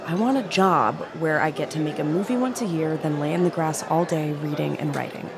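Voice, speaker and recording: An actress playing a hesitant, idealistic young aspiring filmmaker in a conversational indie drama. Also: female voice, deep voice, brisk, a crowd in the background. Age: young adult.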